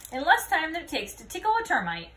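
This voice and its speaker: A woman reading a children's picture book aloud expressively, pausing to put questions to young listeners. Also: sits high, huge pitch swings, a bit bright. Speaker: read-aloud storyteller.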